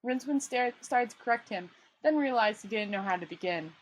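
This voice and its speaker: A woman's voice: on the high side, animated, brisk, poor audio. A woman reading a novel aloud in an informal amateur way, stumbling over words and adding casual asides.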